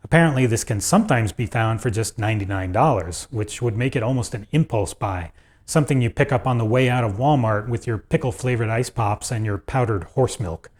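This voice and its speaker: A man's voice: mid-range voice, a little fast, a little mellow. A man hosting a video, narrating a script about audio gear in a conversational, opinionated way with dry asides.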